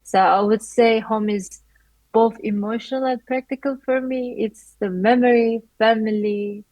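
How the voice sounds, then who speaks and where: A woman's voice: on the high side, steady tone, a little slow, darkish tone, dry recording, poor audio. A woman in her twenties from Turkey, a native Turkish speaker, earnestly answering interview questions about her life as a student, with many "like" fillers. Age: 20s.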